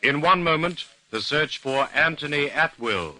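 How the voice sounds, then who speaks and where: A man's voice: a little high, expressive, measured pace, over loud music, rough recording. A man announcing in the style of a 1950s radio drama introduction.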